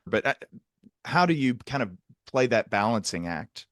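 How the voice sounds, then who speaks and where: A man hosting a conversational interview in a reflective way, building long, qualified sentences with pauses. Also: medium pitch, very animated, fairly bright tone, no room sound, studio quality. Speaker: podcast host, psychiatric provider.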